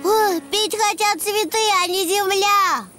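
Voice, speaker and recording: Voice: extremely high pitch, fairly even intonation, takes it slowly, sharp tone, exaggerated voice. A performer voicing a cheerful, eager little boy donkey in a children's cartoon, with playful exclamations. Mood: curious, busy. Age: child character. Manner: exclamatory, playful, full of little effort noises. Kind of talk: cartoon dubbing. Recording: music behind.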